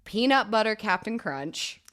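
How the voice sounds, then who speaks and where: A woman's voice: medium pitch, expressive, measured pace, fairly bright tone. A woman co-hosting a podcast in casual chat, slightly nasal from a sinus infection, wry and self-deprecating, swearing casually. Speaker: podcast co-host.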